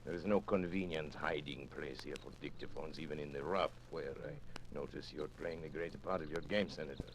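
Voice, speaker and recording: An actor in a 1940s radio drama playing a suave, menacing criminal agent, theatrical and deliberate, with silky mocking courtesy. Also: male voice, a bass voice. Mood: smoothly mocking. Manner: theatrical, deliberate, silkily ironic. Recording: noisy old 1940s radio broadcast.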